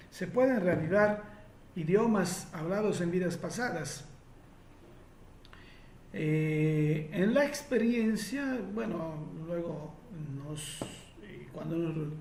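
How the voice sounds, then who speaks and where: A man's voice: a little high, darkish tone, reverberant, slight background noise. A man from Italy speaking Spanish with an Italian accent, answering listener questions in a reflective way with frequent fillers and restarts.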